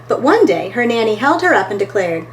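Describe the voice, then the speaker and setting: A woman's voice: a little high, big pitch swings, brisk, a little hiss or hum, low-quality recording. A woman reading a picture book aloud as a storytime host, expressively and talking to her listeners between pages.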